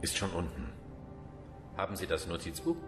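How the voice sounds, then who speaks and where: An actor playing a mocking, domineering police inspector with a sinister edge in a radio drama, taunting and commanding. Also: male voice, low voice, moderate pace, music in the background, natural voice. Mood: controlled.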